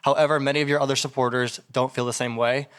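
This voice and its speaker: Young guy from pennsylvania asking an audience question, talks fast, really clean smooth voice. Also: mid-range voice, level tone, fairly bright tone. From Pennsylvania, United States. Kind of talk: audience question at a public event.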